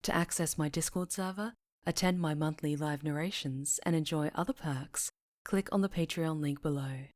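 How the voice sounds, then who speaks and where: Lowish-voiced woman reading an outro. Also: normal speed, darkish tone. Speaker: ASMR creator. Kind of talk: scripted outro promotion.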